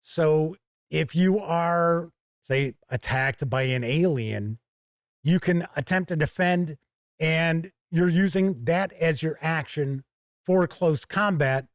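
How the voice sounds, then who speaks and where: A man giving an explanatory game review, talking through rules in short, measured, emphatic phrases. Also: on the high side, no room sound, clean studio sound. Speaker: board game reviewer and host.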